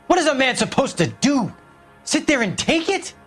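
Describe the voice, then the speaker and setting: An actor playing a bitter, raging suspect who justifies himself with heated contempt in a dramatic game. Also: male voice, very high-pitched, sing-song, moderate pace, a bit bright, breathy edge, faint music behind, full character voice. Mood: enraged, contemptuous. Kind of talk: dramatic video game acting.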